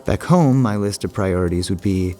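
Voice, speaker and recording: An actor playing a haunted man who confides a dark secret in a halting, deliberate horror monologue, with repeated broken phrases. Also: low voice, natural voice. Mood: brooding, wistful. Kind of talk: slow, scripted dramatic monologue for an audio horror drama. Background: music.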